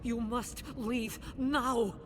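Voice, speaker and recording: An actress playing an anxious, devout leader of an endangered keep, earnest and formal, in a video game cutscene. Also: female voice, fairly even intonation, very slow. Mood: stern, hurried. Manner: earnest, urgent, emotive, formal. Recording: clear rumbling ambient noise behind.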